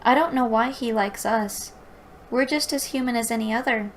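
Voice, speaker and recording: A woman's voice: fairly high pitch, moderate pace, slight background noise, pitched above the performer's own voice, close to an everyday voice. Woman reading as an earnest bookish young heroine. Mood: explaining. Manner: earnest, explaining.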